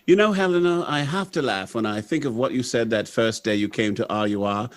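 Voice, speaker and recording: A man playing a confident, jovial, gentlemanly factory director in a 1930s-style radio drama. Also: mid-range voice, a little fast, close to an everyday voice. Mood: fond, amused. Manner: amused, self-assured, gentlemanly.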